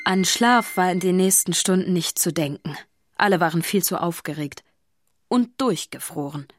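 A woman's voice: fairly low pitch, moderate pace, no echo, clean studio sound, faint music behind. A woman giving scripted narration of a children's story.

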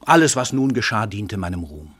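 An actor playing a world-famous crime writer, an arrogant, cynical murderer who declaims in a domineering, emphatic way in a radio drama. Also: male voice, fairly low pitch, sing-song, normal speed, a bit bright, breathy edge, no echo, exaggerated voice. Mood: imperious, boastful. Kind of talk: theatrical German radio drama monologue.

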